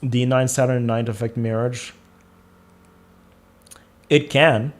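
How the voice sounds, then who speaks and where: A man of middle pitch talking casually into a close mic as he answers viewer questions on a livestream. His delivery is calm and soothing, and he thinks out loud, repeating phrases as he works through each answer. The tone stays relaxed and conversational. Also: slow pace. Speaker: astrologer hosting a live Q&A.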